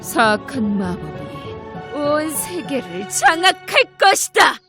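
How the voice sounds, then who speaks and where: A woman's voice: high voice, very animated, takes it slowly, loud music behind the voice, full character voice. A woman voicing a wicked, haughty witch in a storybook tale, imperious as she questions, commands and bargains. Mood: menacing.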